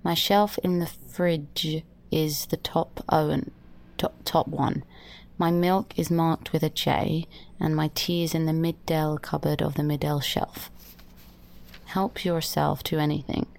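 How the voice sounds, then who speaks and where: A fragile young woman in love murmurs softly in a naturalistic audio drama. Her speech is halting and slow, on the low side, with a creaky edge.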